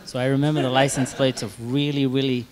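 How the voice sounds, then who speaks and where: A man from Tanzania telling casual anecdotes as a panelist, halting and amused at himself. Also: medium pitch, little pitch movement, rough recording. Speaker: product head on a panel.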